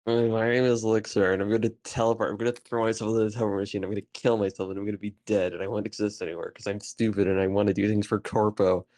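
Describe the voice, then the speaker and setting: Low, creaky-voiced young man telling stories. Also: level tone, rapid-fire, hardly any pauses, a little mellow, no room sound. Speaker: podcast member. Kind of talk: improvised deadpan comedy storytelling.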